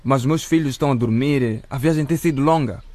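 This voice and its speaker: An actor playing a friendly, crafty truck driver in a radio drama, speaking politely and placatingly. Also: male voice, medium pitch, fast talker, a little mellow, close to an everyday voice. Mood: polite, nervous.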